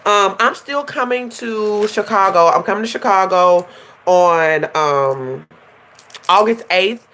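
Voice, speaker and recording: Young woman, stuffy and nasal, a touch hoarse; chatty, animated livestream host addressing viewers. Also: medium pitch, takes it slowly. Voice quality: slightly hoarse. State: stuffy and nasal from a runny nose. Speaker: life coach hosting a livestream. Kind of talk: casual livestream chat.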